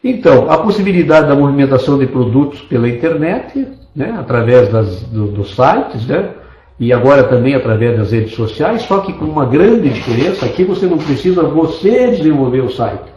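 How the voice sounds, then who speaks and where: A man from Brazil runs an online business meeting with keen, persuasive energy and long spoken lists. His voice is mellow and of middle pitch. It comes through a desktop microphone, muffled and echoey. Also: lively intonation, a little fast. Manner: enthusiastic, persuasive, repetitive lists. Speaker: network marketing presenter. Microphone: desktop computer mic.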